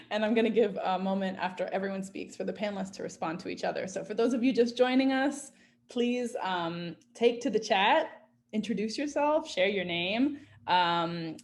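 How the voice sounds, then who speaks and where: A woman moderates an online panel, talking in a friendly and organized way as she introduces the next speaker. Her voice sits at an ordinary middle pitch.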